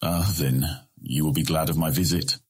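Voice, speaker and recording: A scheming merchant guildmaster in a fantasy audio drama. His voice is extremely low and raspy. He speaks formally, with a measured, placating politeness. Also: fairly even intonation. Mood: courteous, businesslike. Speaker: voice actor in audiobook. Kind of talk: full-cast fantasy audiobook acting.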